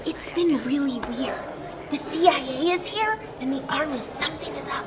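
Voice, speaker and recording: An actress voices a friendly female receptionist in an audio drama, high-pitched and familiar with a note of concern. A crowd chatters behind her. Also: moderate pace, lightly acted.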